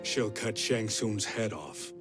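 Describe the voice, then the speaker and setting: A man's voice: lowish voice, lively intonation, slow. An actor playing a proud, righteous, hot-tempered warrior shaman in a video game, with a solemn, intense and declamatory delivery. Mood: bitter. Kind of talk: video game cutscene acting. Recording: voice under loud game music.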